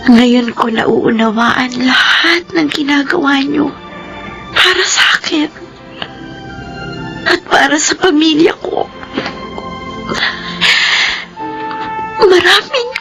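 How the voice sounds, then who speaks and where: A remorseful grown daughter in a radio drama, speaking slowly and with heavy emotion. Her voice sits fairly high and earnest, with music clearly playing behind it. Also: a bit bright, exaggerated voice, low-quality recording. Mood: tearful remorse. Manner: slow, emotional, earnest delivery. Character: remorseful, devoted adult daughter narrating her life. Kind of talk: Filipino radio drama acting.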